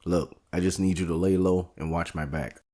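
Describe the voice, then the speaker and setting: Deep-voiced, steady streetwise barber played by a man. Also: normal speed. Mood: calm, resolute. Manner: firm, streetwise, plain-spoken. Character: steady, guarded barbershop owner taking on mobsters. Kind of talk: audio drama dialogue.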